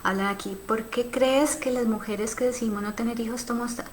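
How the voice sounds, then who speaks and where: A woman's voice: a little high, steady tone, brisk, a little hiss or hum. A woman in her thirties from Cali with a Colombian accent, hosting a casual live stream and answering viewer comments in a reflective, thinking-aloud way with many fillers and tag questions. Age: 30s. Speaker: live stream host on sexuality.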